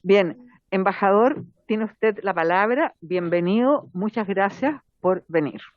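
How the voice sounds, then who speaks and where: A woman chairing a parliamentary committee session, formal with brief relaxed asides. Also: medium pitch, measured pace, dry recording. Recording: dull, muffled committee audio.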